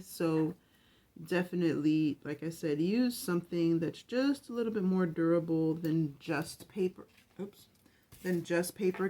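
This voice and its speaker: This is a woman with a slightly low, scratchy early-morning voice, talking casually through a craft project. She chats and rambles, often starting a sentence over before she finishes it. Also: darkish tone. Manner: chatty, rambling, self-correcting. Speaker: craft channel host.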